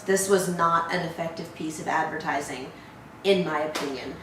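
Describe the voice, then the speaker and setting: A woman's voice: low-pitched, normal speed. A woman co-hosting a reaction show, giving blunt, wry opinions in casual film review chat. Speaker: co-host of a horror trailer reaction show. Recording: heavy room echo, distant mic, faint noise.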